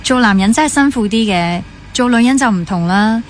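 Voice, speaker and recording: A woman's voice: fairly high pitch, lively intonation, fairly quick, slight background noise, natural voice. A young woman with a Hong Kong Cantonese accent playing a gossipy, pampered only child obsessed with dating in a naturalistic radio drama. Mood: breezy chatty. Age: young adult. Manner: chatty, gossipy, mixes in English words.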